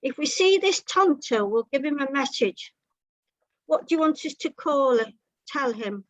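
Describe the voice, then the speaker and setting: A woman's voice: high-pitched, expressive, a little slow, dry recording, rough recording. A woman voicing a nosy, helpful, matter-of-fact man in a comic short story. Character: housewife's companion Jack, a blunt, nosy, helpful man.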